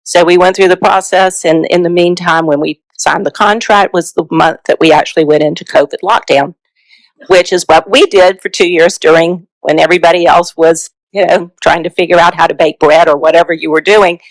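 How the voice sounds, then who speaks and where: A woman's voice: fairly low pitch, fairly even intonation, brisk, no echo, poor audio. A middle-aged woman from South Carolina, an author giving a chatty book talk with frequent fillers.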